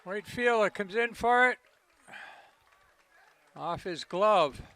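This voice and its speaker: A man calling a local baseball game as play-by-play commentator, relaxed and conversational, with a voice that is starting to go. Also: slow pace. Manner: low-key, conversational calls. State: losing his voice.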